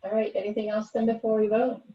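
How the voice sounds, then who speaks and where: A woman's voice: medium pitch, flat delivery, fast talker. A woman chairing a committee conference call, running procedural discussion and votes. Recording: muffled, distant conference call audio.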